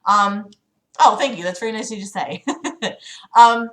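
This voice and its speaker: A woman's voice: mid-range voice, measured pace, a bit bright. A woman chatting casually as a livestream host, rambling hesitantly in a self-deprecating way. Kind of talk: casual chat with livestream audience.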